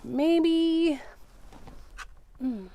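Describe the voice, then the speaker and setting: Woman, high voice, slow pace, casual craft livestream host, chatty, thinking out loud. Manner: chatty, self-narrating, repeats words. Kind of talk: casual live craft chat, thinking aloud.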